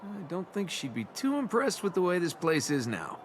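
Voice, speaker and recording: An actor playing a cocky young adventurer and reluctant hero in a video game, with a wry, flippant, casual delivery. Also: male voice, high voice, very animated, normal speed, a little hiss or hum. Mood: casual, gentle.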